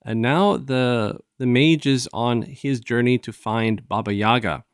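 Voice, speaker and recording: A man hosting a review show, casually retelling a story in a halting, conversational way and acting out bits of dialogue. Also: medium pitch, steady tone, clean tone, no echo, clean studio sound. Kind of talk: casual discussion and retelling of an audio drama.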